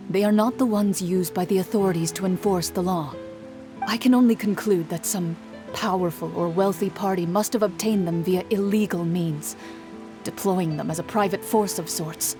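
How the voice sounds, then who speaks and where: A woman playing a cool, dutiful female duelist in a video game, speaking in a formal, measured, restrained way. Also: fairly low pitch, music in the background, close to an everyday voice. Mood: grave.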